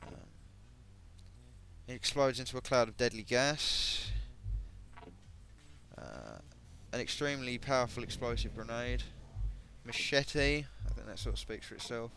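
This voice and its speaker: A man talks through a game's gear, his voice a little croaky and his speech full of ums and restarts. He sits at a home computer mic, and a faint hum of room noise sits under him. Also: mid-range voice, sing-song, a little slow, long pauses, sharp tone. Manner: hesitant, many ums and self-corrections. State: voice going croaky. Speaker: gaming video creator. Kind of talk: casual gameplay commentary.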